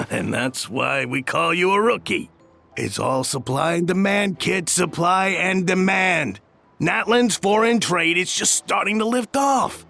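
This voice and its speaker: An actor playing a cocky, scheming smuggler boss in a video game, with a swaggering, condescending manner. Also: male voice, high-pitched, measured pace, creaky, pushed and bright, soft background music, heavily acted. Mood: smug, condescending. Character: cocky, scheming male smuggler boss lecturing his crew.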